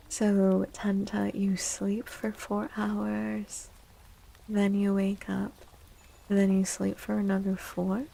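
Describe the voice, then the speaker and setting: A woman speaking softly and breathily, often near a whisper, in an unhurried, gentle ASMR livestream chat. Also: mid-range voice, completely flat delivery, vocal fry, poor audio. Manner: gentle, slow, unhurried, addressing chatters. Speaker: livestream host and tarot reader.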